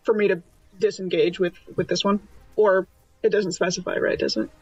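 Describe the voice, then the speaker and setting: A woman's voice: medium pitch, steady tone, moderate pace, darkish tone, slightly rough, no echo, soft background music. A woman chatting casually as a tabletop roleplaying player, hesitant and thinking aloud, on a dull recording. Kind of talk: casual in-game description and table chat.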